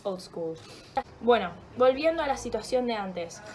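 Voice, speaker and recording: A woman's voice: a little high, lively intonation, unhurried. A young woman with a Rioplatense Spanish accent rambling casually and joking at herself while vlogging from her home kitchen, with a sore throat and a stuffy nose. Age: young adult. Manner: chatty, rambling and self-mocking, swears freely. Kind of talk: casual vlog chat.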